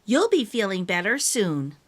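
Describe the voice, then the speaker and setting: A woman's voice: mid-range voice, big pitch swings, a little slow, a bit bright. A woman voicing an earnest, caring young male fox in a children's storybook, with a pleading manner. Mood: reassuring, earnest.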